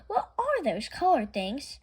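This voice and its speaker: An exasperated lady of the house from a children's storybook, acted out by a woman reader. Her voice is high and very mobile in pitch, with an emphatic, long-suffering delivery. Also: wildly swooping pitch, a little slow, darkish tone, exaggerated voice. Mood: dismayed, exasperated.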